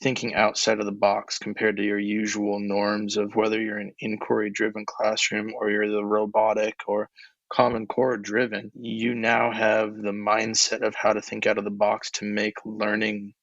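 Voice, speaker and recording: A young man, an ed tech coach, answering interview questions casually in a monotone voice, with many fillers. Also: lowish voice, normal speed, creaky, dry recording, dull audio. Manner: relaxed, filler-heavy, laughs between thoughts.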